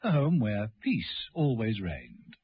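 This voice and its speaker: A man's voice: on the low side, wildly swooping pitch, takes it slowly, heavy background noise. A man narrating a radio serial in a dramatic, suspenseful and precise scripted style. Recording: dull lo-fi old radio recording. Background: dramatic music.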